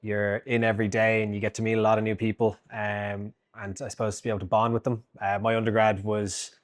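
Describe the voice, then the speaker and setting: A man in his twenties, a graduate student, giving a reflective testimonial with frequent pauses and fillers. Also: lowish voice, flat delivery. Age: 20s.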